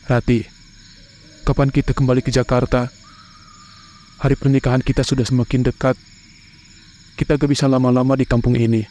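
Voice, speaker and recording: A man's voice: medium pitch, little pitch movement, fairly quick, long pauses, mellow, natural voice. A man playing a worried, weary young fiancé in a horror cartoon, with an Indonesian accent. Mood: concerned, insistent. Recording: clear insect and cricket noise behind. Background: night insects, crickets, ambience.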